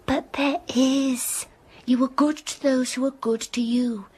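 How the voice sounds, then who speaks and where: An actress voicing a defiant, passionate young schoolgirl in a radio drama, earnest and quietly indignant. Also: high-pitched, fairly even intonation, slow, slightly rough, full character voice. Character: defiant passionate young girl pupil Jane. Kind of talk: radio drama acting with narration.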